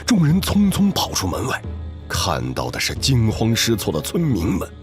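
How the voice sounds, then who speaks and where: A man's voice: lowish voice, wildly swooping pitch, normal speed, soft background music. A man reading scripted, dramatic story narration.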